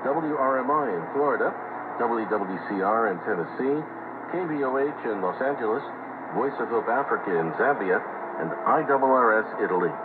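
A man's voice: mid-range voice, animated, moderate pace. An American man hosting a radio program, reading announcements in a scripted style over shortwave radio. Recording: noisy, phone-like shortwave radio reception.

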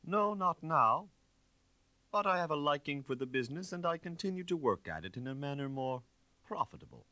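A man voicing a middle-aged, shabby ex-detective in a story, condescending and smoothly persuasive with quiet menace. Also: a little high, very animated, normal speed, dry recording, pitched above the performer's own voice. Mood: smooth insinuation.

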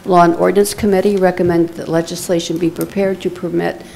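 A woman clerk reads council minutes in a formal, matter-of-fact tone. Her voice is low, with a gravelly, mellow edge. A faint room hum runs beneath. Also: flat delivery, brisk, low-quality recording. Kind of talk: reading ordinances and minutes. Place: council chamber.

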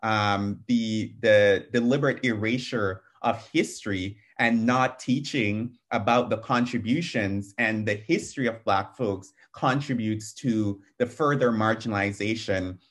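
A man's voice: fairly low pitch, measured pace, few pauses, a bit bright. A young man on a video call with a headset mic, speaking earnestly as a panel speaker, with frequent ums. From Canada. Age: young adult. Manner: earnest, fluent with many ums. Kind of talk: webinar talk. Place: small room at home.

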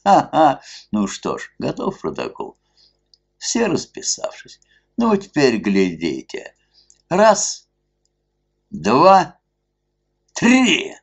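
A man voicing a drunken, boorish middle-aged merchant in a mask, with a low, thick, muffled bass and coarse, bullying folksy speech. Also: huge pitch swings, takes it slowly, vocal fry, darkish tone, breathy edge, exaggerated voice. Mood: mock-pitiful.

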